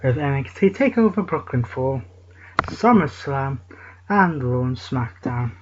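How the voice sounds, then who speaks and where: Slow-talking man hosting through hiss. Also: mid-range voice, lively intonation. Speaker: show host. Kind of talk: casual recap chat. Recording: lo-fi with loud hiss.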